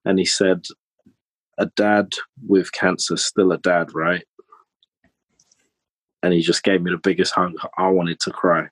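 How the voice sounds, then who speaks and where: A very deep, flat-toned man talks slowly and haltingly, often stopping on an um. His voice is husky, and the recording is dry and close, with no room sound. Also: no echo. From Trinidad. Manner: hesitant, many pauses and filler ums. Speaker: podcast guest. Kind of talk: candid personal conversation.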